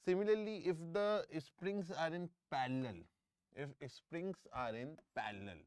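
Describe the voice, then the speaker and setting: A man's voice: on the high side, measured pace, a little mellow, no echo. A middle-aged man with an Indian English accent, teaching a live online engineering class, often repeating himself and checking in with students. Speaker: engineering exam tutor. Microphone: close microphone.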